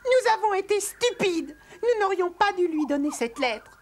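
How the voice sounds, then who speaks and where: A woman's voice: very high voice, sing-song, normal speed, heavy background noise. An actress playing a jealous, sulky little boy dwarf in a cartoon, petulant and whiny. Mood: hurt, jealous. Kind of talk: animated cartoon dubbing.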